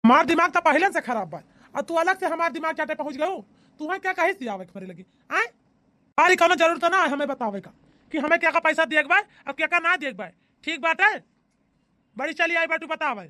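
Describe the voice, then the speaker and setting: Man acting an irritable, high-voiced Awadhi villager. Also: expressive, a little fast, pushed and bright, full character voice. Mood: irritated. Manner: grumbling, emphatic. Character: irritable village man, the grumbling elder brother. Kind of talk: village comedy cartoon acting.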